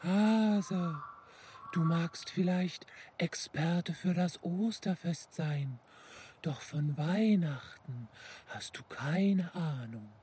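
A woman playing a solemn, self-important wise owl with a breathy, mysterious delivery in a children's audio play. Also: on the low side, extremely slow, mellow, exaggerated voice.

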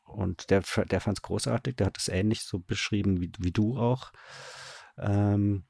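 Low, creaky-voiced man explaining his work. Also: fairly even intonation, moderate pace, warm tone, dry recording. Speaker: radio drama director being interviewed. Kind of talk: conversational interview answers.

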